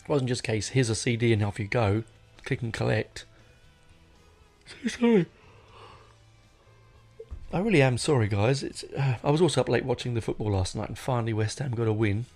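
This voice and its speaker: A middle-aged man chats solo as a podcast host, with an average-pitched voice full of gravelly creak. He talks off the cuff, drifting into asides and rewording himself as he goes. Music plays behind him. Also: lively intonation, normal speed, long pauses, a little mellow. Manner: rambling, digressive, self-correcting. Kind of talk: casual unscripted solo podcast chat.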